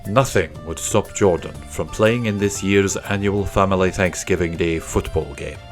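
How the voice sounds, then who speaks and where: A man's voice: low voice, normal speed, faint music behind. A man reading a scripted children's story as an audiobook narrator.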